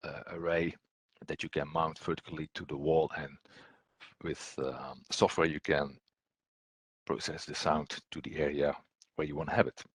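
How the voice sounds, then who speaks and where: A man with a low, husky voice walks through a technical training presentation. He talks like an instructor going through slides, halting often with ums and repeated words. The sound is close and dry. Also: little pitch movement, no room sound.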